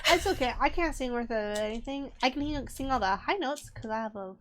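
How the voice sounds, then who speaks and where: A woman co-hosting a casual podcast, playful and quick with jokes. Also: a little high, animated, slight background noise. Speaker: podcast co-host. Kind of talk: casual group chat.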